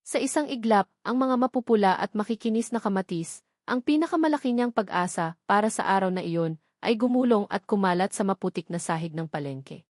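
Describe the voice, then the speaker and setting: A woman's voice: mid-range voice, lively intonation, brisk, a little mellow, no echo, studio quality. A woman narrating a scripted romantic drama story as a dramatic storyteller.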